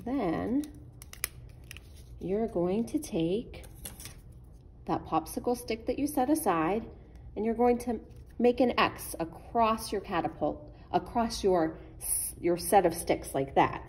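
A woman's voice: mid-range voice, animated, takes it slowly, darkish tone, a little hiss or hum. A woman giving patient, simple step-by-step craft instructions for children, as a children's librarian would. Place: indoors at a wooden table.

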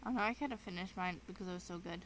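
A woman's voice: on the low side, fast, a little hiss or hum, low-quality recording. A woman host talking casually in a game roleplay, chatty and rambling with plenty of ums. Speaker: channel host voicing her own roleplay character.

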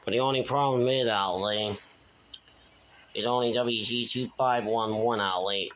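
A man giving a casual, halting, repetitive show-and-tell narration into a handheld phone in a room at home. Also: lowish voice, fairly even intonation, a bit bright, a little hiss or hum. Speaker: video host.

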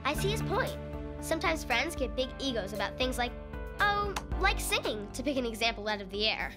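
A woman voicing a cheerful twelve-year-old girl witch, talking in a bright, quick, lively way. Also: sits high, over music.

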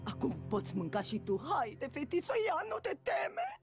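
High, theatrical wicked fairy-tale queen. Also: huge pitch swings, full character voice. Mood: coaxing. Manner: theatrical, wheedling then venomous. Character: wicked, vain queen, scheming, posing as sweet old pedlar. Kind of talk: dubbed fairy-tale cartoon acting. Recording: dull lo-fi cartoon soundtrack, faint music.

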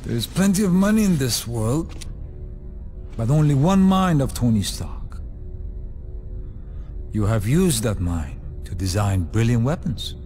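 Grave, measured older prisoner for a game cutscene, male actor; warm, soft-edged voice with expressive melody. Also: mid-range voice, faint music behind, close to an everyday voice. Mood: grave, earnest. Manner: measured, earnest. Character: grave, wise older male fellow captive. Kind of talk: video game cutscene acting.

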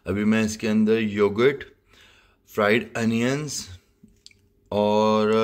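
A young man hosting his cooking channel, casually explaining a recipe in a home kitchen and slipping English phrases into his speech. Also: fairly low pitch. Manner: mixes Hindi-Urdu with English phrases, relaxed and chatty. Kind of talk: casual cooking demonstration, voice-over.

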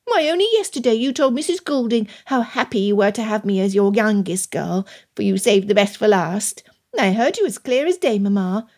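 A woman's voice: medium pitch, lively intonation, normal speed, lightly acted. A woman voicing a brash, self-centred teenage daughter who whines and blurts, in a Regency audiobook. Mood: boastful, whining. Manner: whining, blurting loudly.

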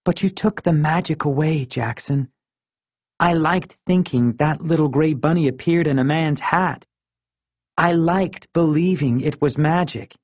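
A man's voice: on the high side, unhurried, no room sound, highs cut off, voice pushed up. A man voicing a frank, spirited young schoolgirl in an audiobook, speaking emphatically and earnestly. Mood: reproachful, wistful.

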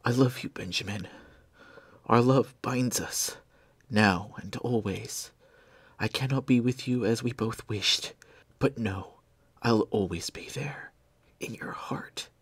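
A man voicing a dying young man who speaks in a weak, breathy whisper, tender and remorseful. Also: high-pitched, big pitch swings, moderate pace, few pauses, no echo, voice pushed up, heavily acted. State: gasping, weak.

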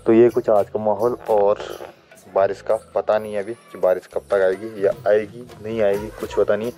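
A man's voice: fairly low pitch, level tone, moderate pace, warm tone. A man, chatting playfully to viewers in a casual vlog. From Uttarakhand, India. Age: 20s. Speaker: vlogger. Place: village house kitchen and stone courtyard. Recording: music laid under the voice. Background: music.

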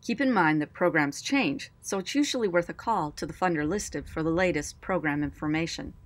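A woman reading a measured, clearly worded narration for an informational video. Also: low voice. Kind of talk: scripted narration.

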